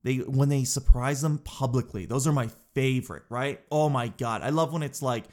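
A man's voice: mid-range voice, moderate pace, darkish tone. Stuffed-up, chatty man. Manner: chatty, self-mocking, says dude a lot. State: stuffed up from crying. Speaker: vlogger. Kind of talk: casual chat to camera.

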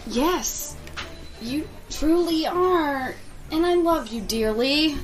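Worried fiancée, high voice, hesitant, evasive, devoted, audio drama. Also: female voice, animated, takes it slowly, soft background music. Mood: tender. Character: anxious, evasive woman, devoted fiancée with a secret. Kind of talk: scripted audio drama acting.

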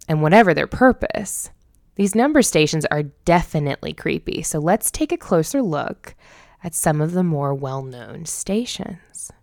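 An American woman with a low, clean, resonant voice, recorded close and dry. She sounds like a podcast host, measured when she narrates and casual when she chats. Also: no echo. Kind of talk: scripted narration with casual banter.

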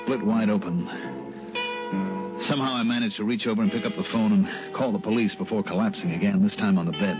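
A man's voice: lowish voice, a little fast, dull audio, lightly acted. An actor playing a hard-boiled male insurance investigator who narrates a 1960s radio detective drama in a clipped, wry manner. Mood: grim narration. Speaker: lead and narrator. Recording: band-limited old radio, music behind.

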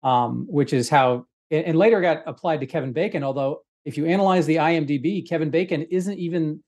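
A man's voice: on the high side, a little fast, a little mellow. A man talking casually and explaining things as a quiz panelist, in an erudite, wry manner.

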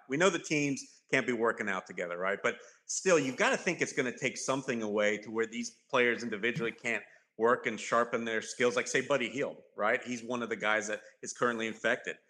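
Male host, bright forceful voice, conversational sports talk. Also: mid-range voice, fairly quick. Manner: asks the others questions, conversational. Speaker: podcast host. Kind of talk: casual sports chat.

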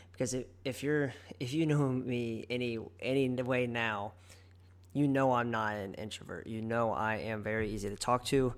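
A young man with a somewhat nasal voice hosts a solo podcast, speaking at a mid pitch. He talks in an earnest, chatty way and often trails off into ums before starting over. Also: a bit bright. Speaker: podcast host and online fitness coach. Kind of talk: casual solo podcast monologue.

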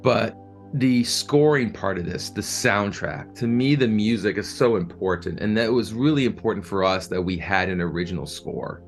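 A man with a fairly low voice gives a reflective interview as a producer. He speaks earnestly and with enthusiasm, often doubling back on words while he searches for the right phrase. Faint music plays behind him. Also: moderate pace. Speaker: audio drama producer being interviewed.

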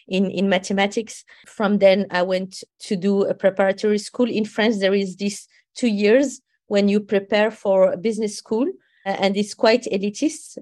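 A woman's voice: mid-range voice, a little mellow, dry recording. Reflective woman from Morocco, dry close sound. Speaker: guest. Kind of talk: conversational storytelling.

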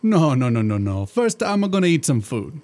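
A man's voice: fairly high pitch, big pitch swings, normal speed, darkish tone. An actor playing a jolly, fatherly Italian genie who cooks, in a put-on Italian accent with broken English. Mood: jovial. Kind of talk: comedy audio drama.

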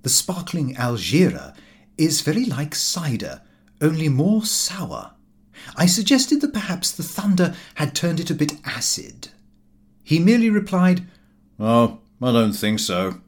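Expressively lilting man reading a comic diary. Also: a little high. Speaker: audiobook reader. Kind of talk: scripted narration of a comic diary novel.

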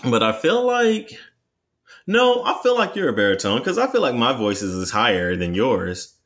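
A young man chats on a podcast with a lively voice that jumps up and down in pitch. He teases his co-host playfully and tells stories with plenty of energy. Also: moderate pace. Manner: animated, playful, teasing. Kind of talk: casual chat.

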